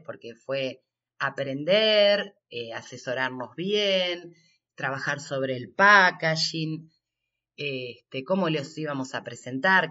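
A man speaks as an interview guest with a bright, pressing tone. He takes his time, phrasing things haltingly as he recounts, and the recording is slightly dull. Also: a little high. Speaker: workshop representative being interviewed.

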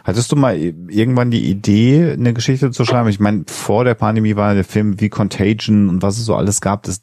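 A man with a low, dark voice hosts a podcast interview. He speaks in a conversational way, and the sound is dry and close. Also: no echo. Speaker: podcast host.

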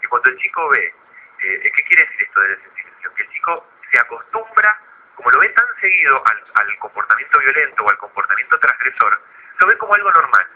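An Argentine man, a guest psychologist in a radio interview, explaining in a didactic but halting way with repeated words. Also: medium pitch, very animated, husky. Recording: phone-like narrow radio line.

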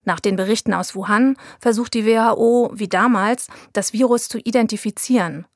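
Documentary narrator, a woman, calm and even, dry studio sound. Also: fairly high pitch, normal speed, no room sound. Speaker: reporter and narrator. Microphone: studio mic.

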